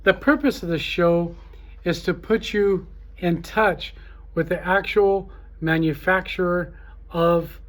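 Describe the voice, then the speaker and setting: A man hosting a trade show gives an informal, unscripted promotional talk, speaking in a halting, repetitive, salesy way. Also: a little high. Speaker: trade show host promoting his event.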